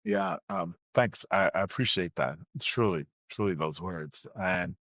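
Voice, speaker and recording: A man's voice: low-pitched, a little slow, fairly bright tone, no room sound. A man from Trinidad and Tobago telling his story as a podcast guest, conversational and emphatic, repeating phrases for weight. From Trinidad and Tobago, moved to the US at nine. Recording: slightly dull, lo-fi sound.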